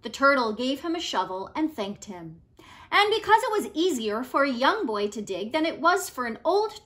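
A woman in her forties reading a children's story aloud as a teacher would, with expressive, deliberate phrasing. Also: high voice. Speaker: teacher reading stories to pupils. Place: room at home, indoors.

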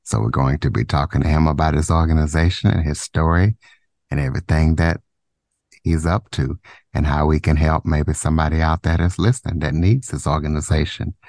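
A podcast host with a very deep voice reads his opening in a measured way. The man sounds dry and close, with no room around him. He moves on to friendly questions for his guest. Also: steady tone, a little mellow, no echo. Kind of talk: scripted podcast intro and interview questions.

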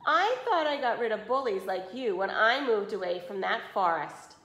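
A woman voicing a feisty, bossy old farmer grandma in a storybook, booming, scolding and sarcastic. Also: animated, normal speed, a bit bright. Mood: scolding, defiant. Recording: roomy home video recording.

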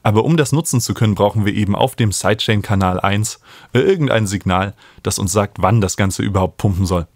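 A man, explaining music software as an instructor in a tutorial. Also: lowish voice, brisk, dry recording, clean studio sound. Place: small home studio, indoors. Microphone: studio microphone up close.

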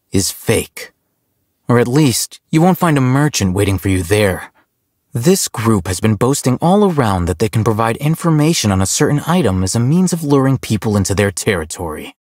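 A shrewd, grave informant from a video game. His mid-range voice moves expressively while he stays measured. The recording is close and dry. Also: mid-range voice, sing-song, darkish tone, dry recording. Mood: cautioning. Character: shrewd, serious male informant giving a warning.

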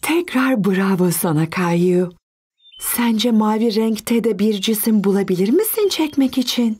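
A woman voicing a young boy's kind mother in a children's cartoon dub, gently instructive. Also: medium pitch, animated, measured pace, slightly rough. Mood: encouraging.

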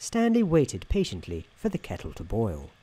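Over noticeable background noise, a man narrates a story softly, in an ASMR style. His delivery is wry and mock-grand, with pitch that moves expressively around an average male register. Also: huge pitch swings, normal speed. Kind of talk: scripted story narration, soft-spoken ASMR roleplay.

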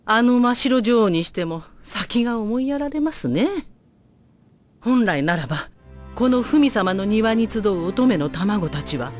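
An actress playing a stern, elderly academy teacher in an anime audio drama, formal, proper and lamenting. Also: female voice, medium pitch. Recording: music behind the voice.

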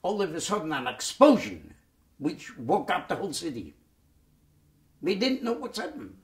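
A man, giving oral history testimony in a halting way, with many repeated words and restarts. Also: fairly high pitch, wildly swooping pitch. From Poland. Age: 90s. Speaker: Holocaust survivor giving testimony. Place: dark studio set, indoors.

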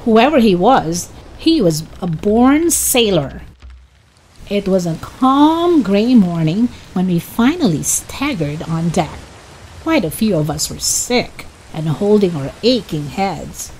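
A woman reading a children's chapter book aloud as narrator, expressive, dramatic and deliberate. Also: mid-range voice, slight background noise, low-quality recording. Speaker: children's story reader. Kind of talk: expressive scripted storybook narration.

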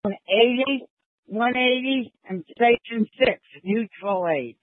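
A man's voice: very high-pitched, slow pace, no room sound. A man giving emergency traffic over a two-way radio. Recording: narrowband fire radio transmission.

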